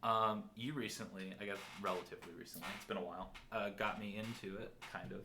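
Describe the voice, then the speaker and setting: A man with a loud voice in casual, joking podcast chat, rambling and poking fun at himself with crude humor. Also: fairly even intonation, quick pace, background noise. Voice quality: loud, booming voice. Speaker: podcast host.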